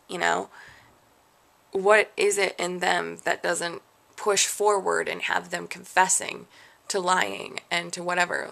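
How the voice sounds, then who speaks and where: A woman's voice: fairly low pitch, vocal fry, a bit bright. A young adult woman vlogging a frustrated, rambling rant, questioning herself as she talks. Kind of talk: candid rant to camera.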